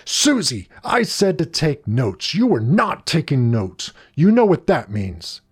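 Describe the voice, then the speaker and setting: A man's voice: mid-range voice, animated, moderate pace, a little mellow, husky. A man playing a domineering, self-righteous male schoolteacher in a story, stern and emphatic, drawing out his words. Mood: stern accusing. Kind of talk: scripted story dialogue.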